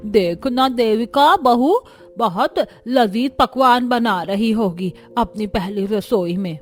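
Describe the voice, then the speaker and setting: Woman acting as elderly cartoon grandma, drawn-out wailing exclamations, scandalised, high voice, music behind. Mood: admiring, eager. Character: elderly grandmother-in-law, dramatic, scandalised, lamenting. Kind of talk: Hindi cartoon family story acting.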